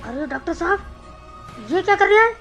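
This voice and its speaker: Woman voicing nervous male sidekick, horror cartoon, high-pitched, naive, easily alarmed. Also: expressive, a little fast, fairly bright tone, soft background music, heavily acted. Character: nervous, astonished male villager, timid sidekick. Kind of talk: animated horror story acting.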